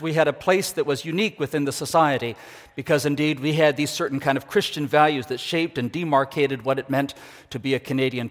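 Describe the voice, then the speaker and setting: An older man delivers a thoughtful, earnest sermon at a fairly high pitch for a man. His voice rings out with a long reverb, as if from a large church hall. His pacing is measured and reflective. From Ecuador. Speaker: guest preacher, university leader. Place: church sanctuary.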